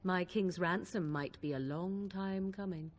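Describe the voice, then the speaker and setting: Aloof, low-voiced young witch played by an actress. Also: unhurried, lightly acted. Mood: cool, matter-of-fact. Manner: archaic, arch, coolly sardonic phrasing. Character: young wilds witch, aloof, dry-witted, archly formal. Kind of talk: video game cutscene acting.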